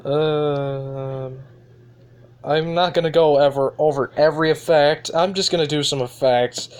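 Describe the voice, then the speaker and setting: A man's voice: a little high, steady tone, unhurried, a little mellow, slight background noise. A young male video host giving casual, goofy commentary as he demonstrates an app, prone to giggling. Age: child or teenager.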